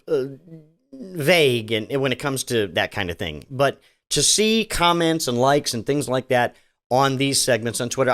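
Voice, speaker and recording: A man's voice: medium pitch, a bit bright. A middle-aged man hosting a solo podcast, talking casually and sarcastically, rambling with many restarts. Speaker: podcast host.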